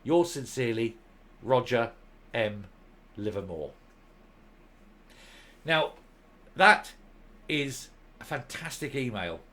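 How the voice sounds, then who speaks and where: A male commentary host reading a document aloud and commenting on it, stressing key words with deliberate, emphatic phrasing. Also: medium pitch, expressive, sharp tone.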